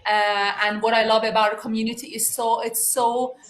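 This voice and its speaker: A woman with a Persian accent speaking informally as a guest coach, humble and halting with repeated words. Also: fairly high pitch, level tone, a bit bright. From Iran. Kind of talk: informal motivational talk on a livestream. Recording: echoey room on livestream.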